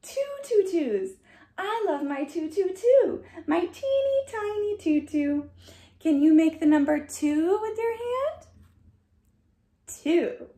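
A young woman reading aloud to small children in a slow, animated, sing-song way, like a dance teacher addressing her class. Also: extremely high pitch, echoey room. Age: young adult. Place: small furnished room indoors. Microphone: camera a short distance away.

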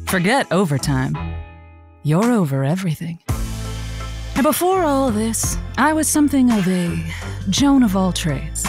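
A woman's voice: mid-range voice, lightly acted. A woman playing a witty, teasing late-night radio host in an audio drama. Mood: sultry. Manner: witty, playful, sultry on air. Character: Josie, sultry radio host, also playing Joanne. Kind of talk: semi-scripted audio drama.

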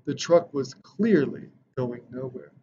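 A man in his thirties reading a children's picture book aloud with expressive, dramatic storytelling. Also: mid-range voice, slow. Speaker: storybook reader. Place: indoor decorated room. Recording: dull lo-fi sound with background noise.

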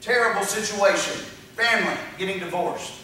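High, bright-voiced preacher in an echoey hall. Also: male voice, moderate pace, a little hiss or hum. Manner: emphatic, repetitive preaching with long rhetorical lists. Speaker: pastor. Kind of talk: sermon. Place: dark church stage with projection screen. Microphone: handheld mic, filmed from a far camera. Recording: echoey church hall from far off.

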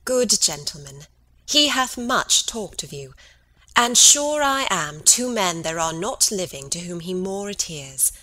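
Woman as dignified queen, lowish pitch, crisp bright tone, courtly, classical drama. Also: animated, unhurried, exaggerated voice, rough recording. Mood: gracious, concerned.

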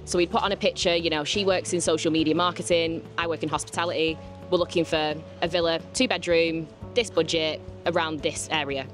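A woman's voice: low voice, fairly even intonation, brisk, soft background music. A young woman from England, chattily telling a story into a handheld mic in a café. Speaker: interviewee, boat party manager. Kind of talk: casual interview. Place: indoor beach café.

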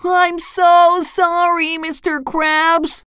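A storybook sea sponge boy acted out by a woman, pitched far up and squeaky. He is eager and timid, swinging dramatically through every line in a dry recording. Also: expressive, slow pace, fairly bright tone, no room sound, exaggerated voice. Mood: sobbing, distraught. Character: excitable, timid, tearful young male sea sponge fry cook. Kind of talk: children's storybook character voice.

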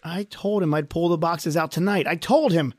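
A man's voice: a little high, normal speed, close to an everyday voice. A man playing a tense husband who leads his wife underground in a radio drama, curt and snapping. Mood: angry.

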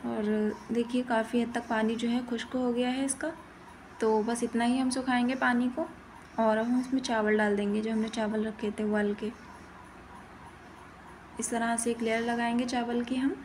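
A woman's voice: on the high side, level tone, moderate pace, darkish tone, slight background noise. A woman giving plain-spoken, step-by-step instructions in a recipe voice-over as host of a cooking channel.

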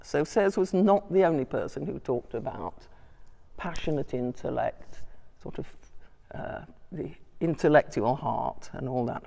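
An older woman giving a scholarly talk from her notes, measured, with small hesitations and asides. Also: very deep voice, gravelly, a little mellow. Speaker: lecturer. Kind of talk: public talk reading from notes. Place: large church interior. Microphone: distant room mic. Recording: long church reverb.

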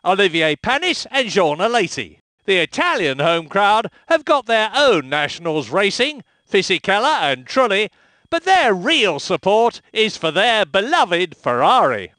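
An older man with emphatic, excitable delivery, doing recorded motor racing commentary for a video game. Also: high voice, a little slow, dry recording, clean studio sound. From United Kingdom.

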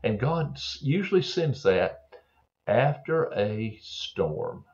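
An older man preaching a Bible story to children in a slow, earnest way, with many fillers and throat clearing, recorded on a webcam in a home office. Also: mid-range voice, big pitch swings, a little mellow. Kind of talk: gentle storytelling sermon for children. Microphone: webcam on a desk. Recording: dull lo-fi webcam audio.

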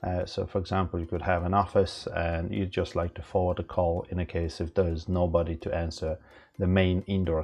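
A man presenting an informal, halting step-by-step product walkthrough as a tutorial host. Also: sits low, creaky, darkish tone, breathy edge. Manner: halting, instructional, addresses viewers as guys.

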